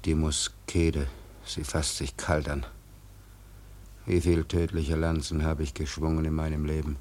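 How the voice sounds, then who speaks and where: An actor playing an honest, brooding first mate in turmoil, thinking aloud gravely and haltingly in a radio drama. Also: male voice, very deep voice, darkish tone. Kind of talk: literary radio drama monologue.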